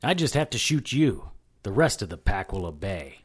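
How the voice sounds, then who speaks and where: A man's voice: lowish voice, big pitch swings, normal speed, husky. An actor playing a wry, impulsive, tough detective who narrates his own story in an audio drama. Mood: defiant. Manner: wry, hard-boiled. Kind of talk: first-person audio drama narration and acting.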